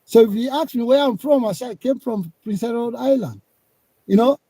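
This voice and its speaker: A man's voice: very high-pitched, a little fast, mellow, slightly rough, no echo. A man from Leone, calling into a live discussion and arguing his point, repeating himself. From Sierra Leone. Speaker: caller.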